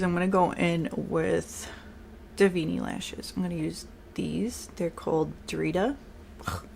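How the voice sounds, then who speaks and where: A woman's voice: on the low side, gravelly, darkish tone, slight background noise. A young woman with a strong New York accent, chatting bluntly through a makeup tutorial. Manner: chatty, blunt, says like a lot. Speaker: makeup vlogger. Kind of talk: casual makeup tutorial chat.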